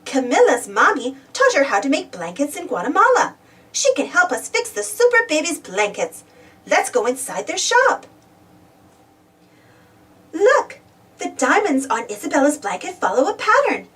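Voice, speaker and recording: A woman reads a storybook aloud for small children in a high voice. Her intonation swings widely, with exclamations and questions aimed at the young listener. She sounds somewhat distant from the microphone. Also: very animated, moderate pace, a bit bright. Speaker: storybook reader. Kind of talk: animated children's read-aloud narration.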